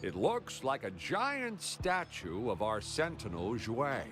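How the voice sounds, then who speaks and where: A man's voice: mid-range voice, huge pitch swings, slow. An actor playing a chatty local townsman in a video game, sharing legends in an informal storytelling way. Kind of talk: video game character dialogue. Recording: game music behind the voice. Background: game background music.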